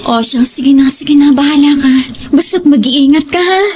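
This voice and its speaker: A woman's voice: sits high, normal speed, loud music behind the voice, lightly acted. An actress playing a worried, caring wife and mother in an audio horror drama.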